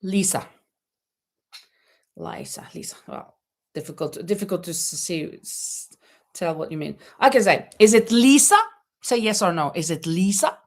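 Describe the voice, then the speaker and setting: A woman's voice: medium pitch, expressive, a little slow, pauses often. A middle-aged woman hosting a casual live crochet chat, laughing often and reading viewer comments aloud. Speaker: livestream host. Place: home in Spain.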